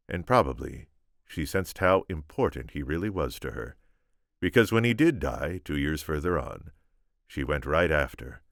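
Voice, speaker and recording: Deep-voiced, animated male storyteller. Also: dry recording, studio quality. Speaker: amateur audiobook reader. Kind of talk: expressive storybook narration.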